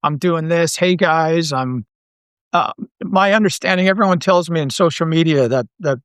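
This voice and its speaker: A middle-aged man talking casually as an interview guest, thinking aloud with halting, repeated phrases. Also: fairly high pitch, fairly bright tone, smooth, no room sound, clean studio sound. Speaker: guest, audio drama writer and creator.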